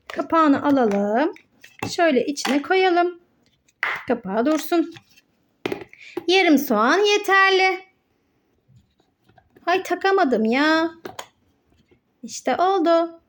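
A woman with a high voice presents toy play for children. She improvises her narration at a slow pace, explaining each small step simply to young viewers. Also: slight background noise.

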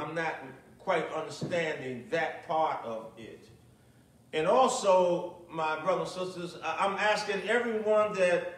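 A middle-aged man preaching and praying as a pastor, speaking in measured, deliberate short phrases into a pulpit microphone in a small church. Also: high voice. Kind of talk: sermon with prayer and commentary. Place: small, nearly empty church, at a pulpit indoors. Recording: echoey church, distant microphone.

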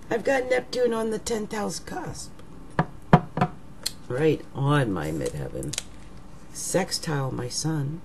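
A middle-aged woman speaks in a rough, raggedy voice, low and mellow, with a gravelly edge. She talks unhurriedly to a webcam at home, often trailing into near-whispers. A faint hum sits behind her. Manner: slow, musing, trails into whispers. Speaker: astrologer. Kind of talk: casual monologue to webcam. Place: living room at home. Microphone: webcam at desk.

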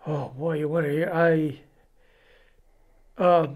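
A man in his seventies with a Californian American accent tells his life story in an interview, plain-spoken and halting. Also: on the high side, little pitch movement, darkish tone. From California, United States. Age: 70s. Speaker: interview guest giving testimony. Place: indoors before a cloth backdrop.

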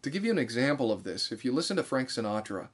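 A man's voice: medium pitch, very animated, fast talker, husky. Man in his thirties explaining music. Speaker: musician giving a music lesson. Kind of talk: instructional talk to camera. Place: small bare room indoors.

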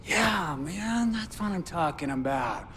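An actor playing a lonely, jittery war veteran obsessed with movies in a video game, halting and rambling, eager and pleading. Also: male voice, sits high, lively intonation, a bit bright, slight background noise, heavily acted. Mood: excited. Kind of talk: naturalistic video game acting.

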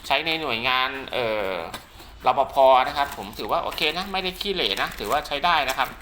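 A man's voice: fairly high pitch, animated, brisk. A man giving a casual product demonstration as a seller, rambling and repeating himself with frequent polite tags. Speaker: radio seller and channel host presenting products. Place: at home during heavy rain. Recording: loud rain noise behind the voice. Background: heavy rain.

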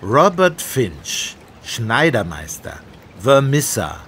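An actor playing a sceptical, sarcastic police inspector with a gruff, commanding manner in a German radio play. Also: male voice, medium pitch, sing-song, slow pace, fairly bright tone, a little hiss or hum. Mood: sceptical, irritated.

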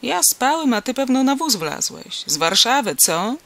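A storybook reader voicing a gruff, irritable, suspicious peasant man who scolds in rustic, exclamatory speech. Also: medium pitch, sing-song, moderate pace, fairly bright tone, pitched above the performer's own voice.

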